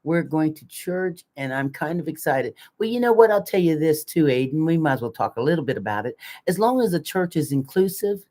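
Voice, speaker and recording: A woman's voice: low-pitched, expressive, fairly quick, hardly any pauses, mellow. Older lady on a casual livestream, folksy and emphatic, down-home wording, co-host chatting away. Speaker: co-host of a live community chat show.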